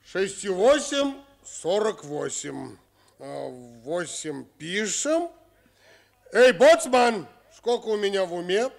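An actor playing a jaunty, muddle-headed sea captain in a children's radio play, theatrical and sing-song. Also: male voice, high voice, big pitch swings, slow pace, vocal fry, exaggerated voice. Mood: jaunty, absorbed.

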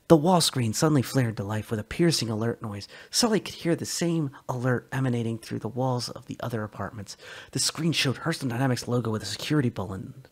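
A man's voice: medium pitch, quick pace, husky. A man giving a dramatic reading of a short story as narrator.

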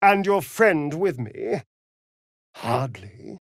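Sly, ancient male vampire for a game: theatrical, flirtatious, slow drawl, very animated pitch, dry close recording. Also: no echo, exaggerated voice. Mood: sardonic, flirtatious. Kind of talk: video game character acting.

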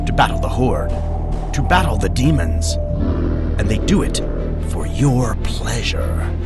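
An actor playing a slick, gleefully morbid announcer in an audio drama, with a theatrical, hype-building delivery. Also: male voice, lowish voice. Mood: ominous, grand. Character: slick, gleefully morbid male livestream announcer of a doomed world. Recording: loud music under voice.

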